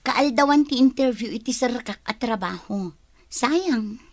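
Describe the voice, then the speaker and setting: High-voiced young Filipino woman, acted by a woman. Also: unhurried, dry recording. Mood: apologetic. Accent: Filipino (Ilocano).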